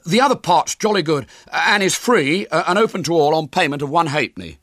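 A man acts out an earnest storybook schoolboy, pitched well up and loud, with a husky edge. He declaims with great dignity and stern indignation, his pitch swinging widely. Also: animated, brisk, no room sound, clean studio sound. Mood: aggrieved, dignified. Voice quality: hoarse when shouting.

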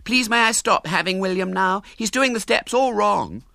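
A man's voice: sits high, normal speed, creaky, bright, forceful tone, no room sound, clean studio sound, voice pushed up, heavily acted. A man voicing a haughty little girl who whines and pleads to be rid of her dance partner. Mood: haughty pleading. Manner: whiny, pleading, disdainful.

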